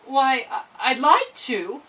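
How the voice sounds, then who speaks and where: A woman's voice: high voice, very animated, takes it slowly, fairly bright tone, distant mic, voice pushed up, full character voice. A woman voicing a plucky, polite little girl in a storybook reading. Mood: hesitant.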